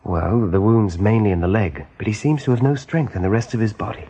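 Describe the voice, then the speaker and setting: A man playing a steady, kindly rabbit in a radio play, with a breathy, husky voice. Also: fairly low pitch, brisk. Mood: concerned. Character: steady, kindly male rabbit looking after his friends.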